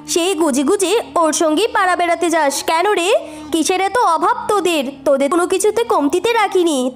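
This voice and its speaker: A woman voicing a greedy, jealous tigress shopkeeper who scolds and nags in a cartoon. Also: extremely high pitch. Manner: haughty, nagging.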